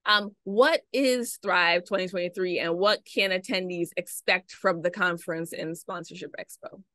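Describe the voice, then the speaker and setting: A woman's voice: fairly low pitch, normal speed, sharp tone, dry recording, studio quality. A young woman hosting an interview over a video call, reflective and conversational. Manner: reflective, conversational, uses "right?" often. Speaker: podcast host. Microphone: video call webcam.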